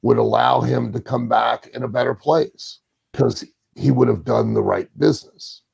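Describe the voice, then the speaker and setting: A man with a gravelly, husky voice giving opinionated analysis in a podcast conversation, deliberate and emphatic, repeating key phrases. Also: medium pitch, a little mellow, no echo. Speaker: podcast panelist from the wrestling business.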